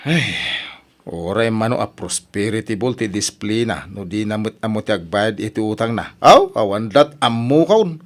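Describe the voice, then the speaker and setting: A man's voice: lowish voice, lively intonation, moderate pace, close to an everyday voice. A man with an Ilocano accent acting a grumbling husband in a comedy radio drama. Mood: exasperated. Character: grumbling husband reacting to his wife's complaints.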